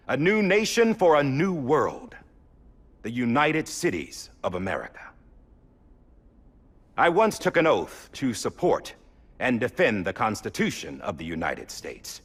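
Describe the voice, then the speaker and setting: A grave male president in a video game, making a formal ceremonial address. The voice is very husky and rises and falls dramatically. He speaks with slow, stately deliberation. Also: medium pitch, a bit bright, full character voice. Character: solemn new president giving an inaugural oath.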